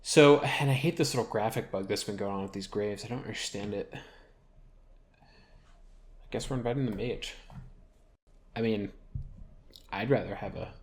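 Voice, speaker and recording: A man's voice: on the low side, normal speed, long pauses. A man giving casual, rambling gameplay commentary as a video host, thinking aloud as he plays.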